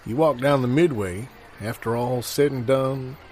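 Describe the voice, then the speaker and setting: A man's voice: medium pitch, measured pace, darkish tone, a little hiss or hum, natural voice. A man playing a folksy, world-weary circus veteran, spinning wry yarns in improvised audio comedy. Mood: dramatic reminiscing.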